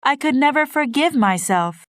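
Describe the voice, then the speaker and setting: A woman's voice: high-pitched, animated, normal speed, no echo, clean studio sound, voice pushed up. A woman voicing a caring young sister in a storybook reading, in a plain, near-natural voice.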